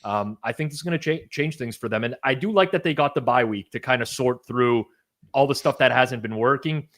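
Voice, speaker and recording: A man's voice: medium pitch, fairly quick, a bit bright. A man hosting a sports betting discussion, talking casually with restarts and dry asides. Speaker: betting show host.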